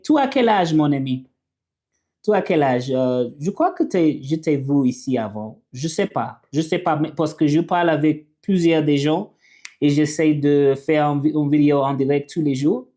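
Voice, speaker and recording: A man whose first language is English, hosting a casual livestream and reading viewer comments, talking haltingly with many fillers and restarts. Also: a little high, mellow. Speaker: livestream host.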